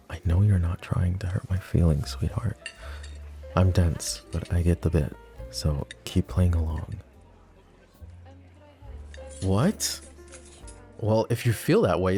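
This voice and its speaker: An actor playing a possessive, flirty mafia boss in an ASMR roleplay drama, teasing and smug with a cold, threatening edge. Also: male voice, deep voice, warm tone. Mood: defensive.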